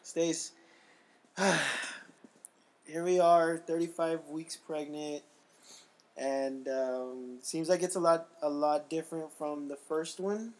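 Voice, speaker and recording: A man hosting a casual podcast, his voice a little raspy, joking and reading things aloud. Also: on the high side, slow pace, a little mellow. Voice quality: slightly raspy. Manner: laughing, joking, reads aloud. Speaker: podcast host.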